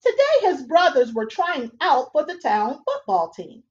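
A woman's voice: high voice, measured pace. A young woman reading a children's storybook aloud expressively at home on a webcam. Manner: expressive, animated. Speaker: read-aloud reader. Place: room at home, indoors. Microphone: webcam at a desk. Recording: dull lo-fi webcam audio.

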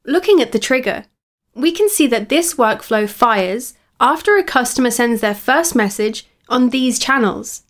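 A woman with a slightly high voice narrates a scripted software lesson. She explains each step clearly, as a tutorial presenter would. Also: expressive, a little slow.

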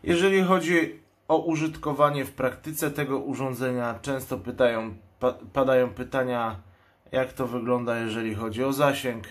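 A man's voice: medium pitch, steady tone, normal speed. A man giving an unscripted product presentation in speech, explaining things in a matter-of-fact way. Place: indoors at a table.

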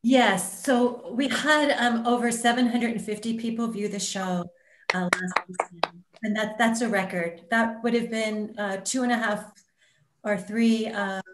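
A woman's voice: on the high side, steady tone, measured pace, noisy background. A middle-aged woman, a theater organizer, giving an informal update on a video call. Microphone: computer on a video call. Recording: roomy, echoing video call audio.

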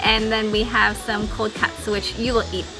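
A young Canadian woman travel vlogger, chatting casually and explaining things quickly to the camera by a resort pool. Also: medium pitch, flat delivery, music in the background, rough recording. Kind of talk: casual chat. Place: outdoor resort pool, then indoor spaces.

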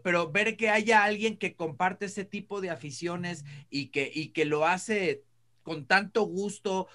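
High-pitched middle-aged Mexican man chatting. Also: a bit bright. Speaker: talk show co-host. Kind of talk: casual anecdotal chat. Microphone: video call.

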